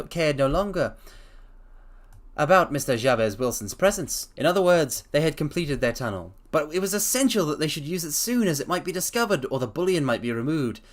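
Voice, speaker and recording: A man voicing a brilliant, languid detective explaining his deductions in a theatrical storybook reading. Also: a little high. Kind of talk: dramatic reading.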